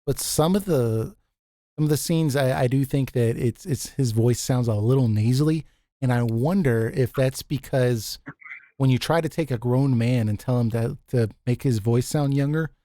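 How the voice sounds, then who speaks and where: A man with a deep voice hosting a casual group chat, easygoing and quick to laugh, often restarting his sentences. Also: dark tone, no echo. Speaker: podcast host.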